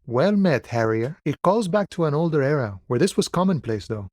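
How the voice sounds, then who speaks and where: An actor playing an easygoing, philosophical union dockworker in a video game, talking in a relaxed, wry and familiar way. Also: male voice, fairly high pitch, normal speed, no room sound, clean studio sound. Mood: easygoing, friendly. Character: laid-back philosophical union dockworker, easygoing, wryly friendly man. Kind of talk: video game dialogue voice acting.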